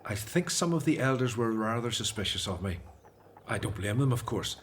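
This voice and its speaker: A man's voice: mid-range voice, expressive, normal speed, a little hiss or hum, lightly acted. An actor playing a humble, earnest older man back from exile in a radio drama. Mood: thoughtful.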